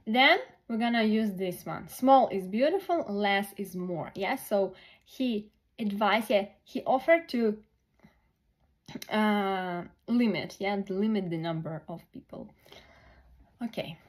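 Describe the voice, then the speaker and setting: A woman tutoring test preparation, thinking aloud through questions slowly with many pauses and fillers. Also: mid-range voice, expressive.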